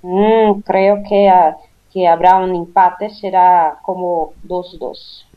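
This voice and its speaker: A Brazilian woman with a Portuguese accent talks as a radio panel guest, choosing her words with care. Her delivery is halting but clear. Her line sounds muffled and lo-fi. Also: on the low side, fairly even intonation. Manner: careful, halting non-native speech. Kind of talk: casual radio panel chat.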